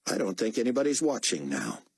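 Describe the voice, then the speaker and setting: A man's voice: sing-song, brisk, gravelly, slightly rough, no room sound, studio quality, full character voice. Man as a curt, commanding man in charge. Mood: urgent. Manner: curt, commanding, low-key. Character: brisk male journalist posing as an officer, secretly kind.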